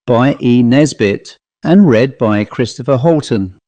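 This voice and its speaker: A male storyteller reads a short story in scripted narration. His pitch sits in the middle range, and the recording is dry, with hardly any room sound. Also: measured pace, warm tone, no echo, lo-fi sound. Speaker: audiobook narrator.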